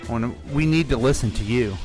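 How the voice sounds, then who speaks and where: A man's voice: mid-range voice, fast, loud music behind the voice. A man hosting a local radio history show in casual, playful chat, leading the questions. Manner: chatty, playful, leads the questions. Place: radio studio. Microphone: studio microphone.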